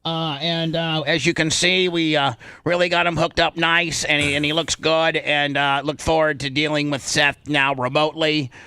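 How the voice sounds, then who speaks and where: A man's voice: a little high, little pitch movement, moderate pace, few pauses, sharp tone, smooth, no room sound. A man hosting a radio show in casual banter, rambling and repeating phrases for emphasis.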